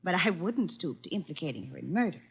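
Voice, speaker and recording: A woman's voice: fairly low pitch, big pitch swings, fast talker, gravelly, breathy edge, full character voice. A woman playing a cool, disdainful widow in an old-time radio drama, speaking in a haughty, cutting, composed way. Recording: dull vintage radio recording.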